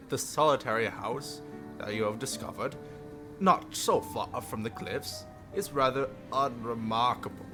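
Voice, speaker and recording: Guy streamer reading a story game out loud, takes his time, goofy asides, music going behind him. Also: mid-range voice. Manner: jokey, stumbles over the text he reads. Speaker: livestreamer. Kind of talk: reading a visual novel aloud with joking asides.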